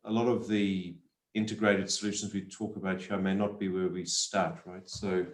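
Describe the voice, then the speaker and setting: A man's voice: sits low, flat delivery, moderate pace. A man from Zimbabwe speaking as a panelist, hesitant and searching for his phrasing. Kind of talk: thoughtful panel discussion. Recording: echoey room on webinar connection.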